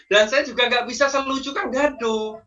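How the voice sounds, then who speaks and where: A man with a strong Javanese accent teaching public speaking, lively and persuasive, posing rhetorical questions. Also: very high-pitched, normal speed, far from the mic, highs cut off. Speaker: guest speaker and trainer. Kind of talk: online class teaching.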